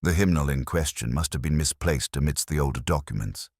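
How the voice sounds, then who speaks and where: A man's voice: very deep voice, a little fast, slightly rough, no echo, studio quality, heavily acted. A man voicing a bland, evasive retired solicitor in a detective story, formal, fussy and resigned. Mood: evasive explaining.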